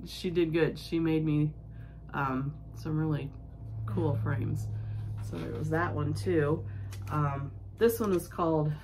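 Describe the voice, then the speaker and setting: A woman with a low-pitched voice chats casually as she shows her handiwork. She sounds under the weather and sniffly, rambling along in a halting, homespun way. There is clear background noise on the home recording. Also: darkish tone. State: sick and sniffling. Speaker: needlework vlog host. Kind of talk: casual show-and-tell chat.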